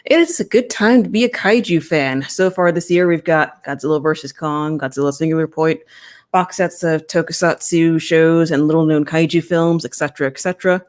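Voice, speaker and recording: A woman's voice: sits low, normal speed, darkish tone. A woman hosting a podcast, chatting casually as she interviews a guest. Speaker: podcast host.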